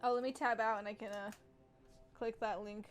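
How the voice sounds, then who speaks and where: A woman giving casual live game commentary, chatty and thinking out loud as she reacts. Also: fairly high pitch, fairly even intonation, normal speed, soft background music. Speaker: game streamer.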